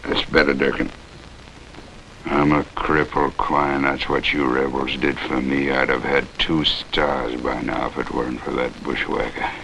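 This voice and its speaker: A gravelly, very deep bitter army captain. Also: male voice, level tone, bright, forceful tone, slight background noise, full character voice, rough recording. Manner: sardonic, commanding, deliberate. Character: bitter, crippled army captain, ruthless and sneering. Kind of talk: 1950s television western acting.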